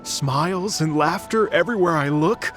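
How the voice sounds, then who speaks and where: Man playing a gracious, higher-voiced young travelling musician. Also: moderate pace, music in the background, close to an everyday voice. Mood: awed, delighted. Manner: courteous, lyrical, earnest. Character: gracious, poetic young male travelling musician, earnest and dreamy. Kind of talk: video game character acting.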